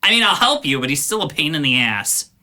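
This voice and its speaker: Male actor as surly teenage cousin: very bright, forceful voice, blunt, sneering, foul-mouthed. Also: fairly high pitch, big pitch swings, fairly quick, heavily acted. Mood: irritated, grudging. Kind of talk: audio drama dialogue.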